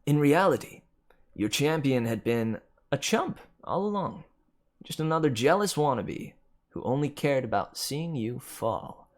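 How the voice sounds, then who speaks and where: A man reading a dark second-person story aloud as scripted narration, with dramatic emphasis that swells and drops. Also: medium pitch, animated, measured pace. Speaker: amateur story reader.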